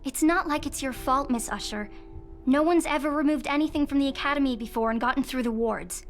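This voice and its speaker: An actress playing an earnest, deferential young academy underling in a game. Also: female voice, high voice, fairly quick, faint music behind, natural voice. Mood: reassuring.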